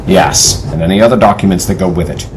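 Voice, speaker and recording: Man playing deep-voiced, commanding team leader. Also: fast talker, slight background noise, natural voice. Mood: firm, planning. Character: decisive, steady male team leader of adventurers. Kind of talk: audio adventure drama acting.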